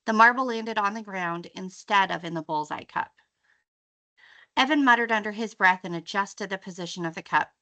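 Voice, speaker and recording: Female storyteller for children's fiction, expressive read-aloud, bright and crisp-edged tone, mid-range pitch. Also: moderate pace. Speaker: teacher reading a book aloud. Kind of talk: expressive children's audiobook-style story reading.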